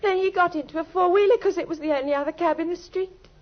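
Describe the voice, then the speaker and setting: A woman's voice: extremely high pitch, fast talker. An actress in an old British radio drama playing a naive, trusting, lovelorn young woman who speaks earnestly and breathlessly. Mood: distraught. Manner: breathless, earnest, deferential, pours out her story. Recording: dull, lo-fi old radio recording.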